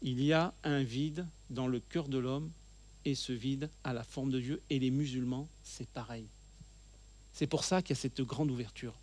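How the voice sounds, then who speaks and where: A man's voice: medium pitch, steady tone, moderate pace, a little mellow. A middle-aged man speaking to a church audience while seated with a handheld microphone, earnest and emphatic, often repeating phrases for stress. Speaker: guest speaker. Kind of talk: church teaching talk. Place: church hall, seated at a table. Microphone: handheld mic.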